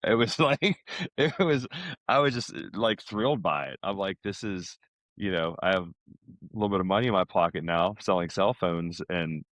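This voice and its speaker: A man's voice: low-pitched, moderate pace, fairly bright tone, dry recording. A middle-aged American man chatting casually, telling stories in a rambling way. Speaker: podcast guest, salesman. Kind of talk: casual chat.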